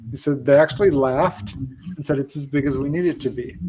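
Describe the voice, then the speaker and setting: A man's voice: mid-range voice, a little fast, mellow, slight background noise, low-quality recording. A man speaking as a guest researcher, answering conversationally with anecdotes in an interview. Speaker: guest UFO researcher and author.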